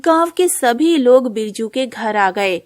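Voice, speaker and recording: High-voiced woman narrating a story. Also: lively intonation, slow, darkish tone, no echo, clean studio sound.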